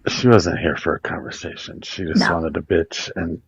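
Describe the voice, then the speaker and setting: A man's voice: low-pitched, fairly even intonation, normal speed, creaky, muffled recording, a little hiss or hum. A man hosting a heated live debate panel, blunt and profane, brushing off opponents.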